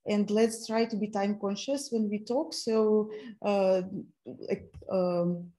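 A woman in her thirties with a Ukrainian accent hosts an online workshop from her laptop on a video call. Her voice is mellow and warm, and she speaks haltingly, with frequent fillers and restarts. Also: mid-range voice, steady tone. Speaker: host of an online learning event. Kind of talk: hosting a video call presentation. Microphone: laptop mic on a video call.

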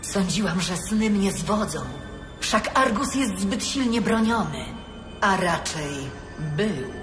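An actress playing a grave, determined elf heroine in an audio drama, speaking in an earnest, serious way. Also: female voice, medium pitch, lively intonation, takes it slowly, echoey room, over music.

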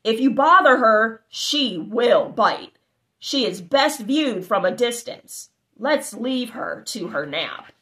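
Woman narrator for a kids' storybook, high and bright, unhurried, lots of exclamations and asides. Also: low-quality recording. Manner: animated, exclamatory, child-directed with asides. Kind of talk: reading a children's picture book aloud. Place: living room, indoors.

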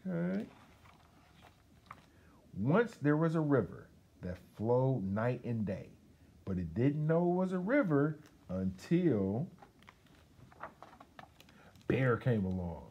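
A man's voice: medium pitch, sing-song, slow, long pauses, dull audio. A middle-aged man from Detroit with an American accent, casually reading a children's picture book aloud indoors. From Detroit, United States. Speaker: guest storybook reader, radio station employee. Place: school library, seated indoors.

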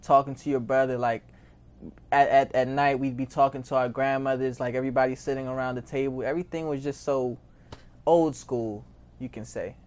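A man's voice: medium pitch, fairly even intonation, a little fast, darkish tone. A nineteen-year-old man from Haiti with an American accent, talking casually and slangily as an interview guest, often repeating phrases. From born in Haiti, raised in Maryland from age seven. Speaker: interview guest, record label founder.

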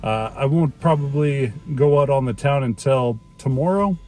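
A man's voice: mid-range voice, moderate pace, darkish tone, soft background music. Chatty man vlogging from his car. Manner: chatty, enthusiastic, many fillers. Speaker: EV road trip vlogger. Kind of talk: casual vlog talk to camera. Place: inside a parked car. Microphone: phone camera at arm's length.

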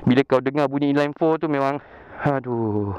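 A man's voice: mid-range voice, normal speed, darkish tone, smooth, highs cut off. A young man with a Malaysian Malay accent, chatting enthusiastically about motorbikes in a casual vlog, laughing often. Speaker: motovlogger. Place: outdoors in a leafy park road, beside motorbikes. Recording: outdoor wind and engine noise.

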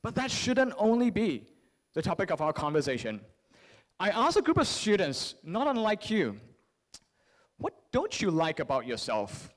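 A man in his thirties giving an earnest motivational psychology keynote at a podium microphone, phrasing deliberately with repetition and rhetorical questions. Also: high-pitched, wildly swooping pitch. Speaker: keynote speaker. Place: large convention hall, on stage at a lectern.

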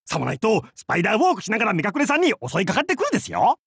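An actor playing a boisterous, crude and goofy male pirate captain in a game, with a gravelly, husky voice and a hammy, theatrical, jokey way of talking. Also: sits high, wildly swooping pitch, racing, a bit bright, no echo, clean studio sound, exaggerated voice. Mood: joking, rambling. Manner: hammy, theatrical, jokey otaku-style speech. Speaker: game character voice. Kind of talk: voiced game character lines.

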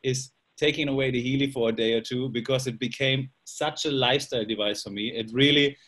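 A man's voice: mid-range voice, steady tone, a little fast, a bit bright. A young man from Germany with a German accent, chatting in an upbeat, joking way on a video call from a small room at night. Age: young adult. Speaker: presenter. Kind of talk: casual video call talk. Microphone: laptop webcam on a video call.

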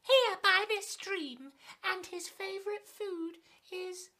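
A bold little brown mouse squeaks in a shrill, sing-song storybook voice. It sits very high and sounds bright and sharp. It takes its time over each line. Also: female voice, slow, slight background noise, heavily acted. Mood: playful. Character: small clever brown mouse, bold and cheeky. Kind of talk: storybook read-aloud.

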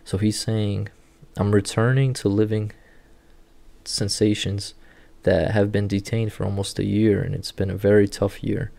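A native Portuguese-speaking man in his thirties breaks down rehab footage like a clinician. His voice is heavily gravelly and dark, sitting low and staying fairly flat in tone. He speaks straight into a webcam in an indoor room. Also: a little slow. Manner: steady, instructive walk-through of clips. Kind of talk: explanatory video commentary.

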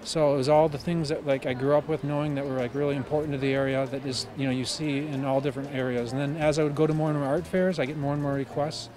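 Fast-talking young male artist. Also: on the high side, flat delivery, background noise. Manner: rambling, many fillers. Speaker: art fair exhibitor. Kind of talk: casual interview answers. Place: outdoors at a park art fair.

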